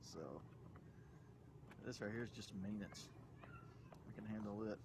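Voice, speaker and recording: A man's voice: lowish voice, measured pace. A man, hosting a podcast in casual chat as he talks himself through a small car repair outdoors. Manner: easygoing, talking himself through a task. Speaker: podcast host. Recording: loud outdoor noise.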